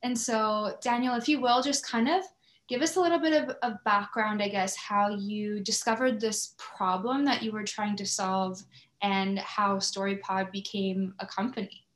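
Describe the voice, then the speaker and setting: A woman speaks conversationally as a podcast interviewer. Her pitch is medium, and the recording has a noticeable roomy echo around her voice. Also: steady tone, normal speed. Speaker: podcast host interviewing a guest. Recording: roomy, echoing sound.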